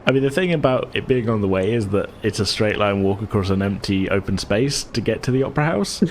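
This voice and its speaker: A man's voice: fairly low pitch, lively intonation, a little fast, slight background noise. A man running a tabletop game as game master, narrating casually in a rambling, self-correcting way.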